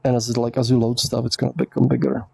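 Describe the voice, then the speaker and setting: A man whose first language is Czech talks through viewer questions in a loose, rambling way, full of "like" and "um". His voice has a gravelly edge and a mellow, dark tone. The sound is close and dry. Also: medium pitch, flat delivery, fast, no echo. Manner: rambling, filler-heavy, reads questions aloud. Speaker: host answering viewer questions. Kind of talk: casual livestream Q&A.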